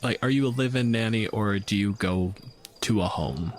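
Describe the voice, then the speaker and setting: Low-voiced man running a tabletop game. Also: normal speed, slight background noise. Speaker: game master. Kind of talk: casual tabletop game narration and questions.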